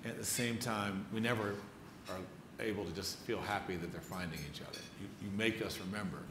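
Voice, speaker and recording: A man with a fairly low voice interviews a writer onstage. His phrasing is deliberate and measured. He is recorded in an echoey room with clear background noise. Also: steady tone. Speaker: interviewer, moderator. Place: live onstage event before an audience.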